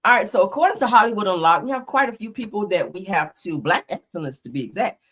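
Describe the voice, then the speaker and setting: A woman's voice: medium pitch, animated, fast talker, breathy edge. A woman hosting a casual live stream, sniffling from a slight cold, greeting her viewers and chatting with them in a loose, easygoing way. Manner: chatty, greets viewers often, says y'all.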